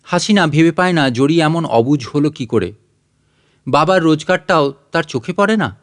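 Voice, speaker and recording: Higher-voiced man narrating a story. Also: lively intonation, moderate pace. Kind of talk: scripted audiobook narration.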